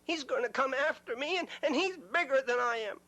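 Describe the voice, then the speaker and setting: A man's voice: expressive, unhurried, gravelly, bright, forceful tone, full character voice. A man voicing the smallest billy goat, timid and stammering. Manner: stammering, squeaky.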